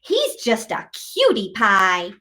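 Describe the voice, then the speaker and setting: A woman's voice: medium pitch, wildly swooping pitch, slow pace, sharp tone, far from the mic, pitched below the performer's own voice, exaggerated voice. Woman as scornful, swooping young monster. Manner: exaggerated sneers, howls and growls. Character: wild young monster siblings, scornful, mischievous, rowdy.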